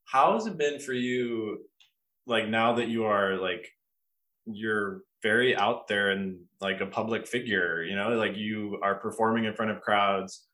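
A man's voice: fairly low pitch, unhurried, sharp tone. A man hosting a casual, chatty interview, speaking in a tentative, rambling and supportive way. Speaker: podcast host, interviewer.

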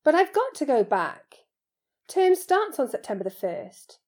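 A woman's voice: high-pitched, big pitch swings, unhurried, darkish tone, voice pushed up. A woman playing an earnest, anxious, lonely schoolboy with a hesitant manner in an audiobook. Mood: dismayed.

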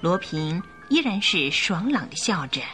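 Expressive woman narrator, music behind. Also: fairly low pitch, unhurried. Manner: expressive storytelling. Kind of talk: dramatic scripted story narration.

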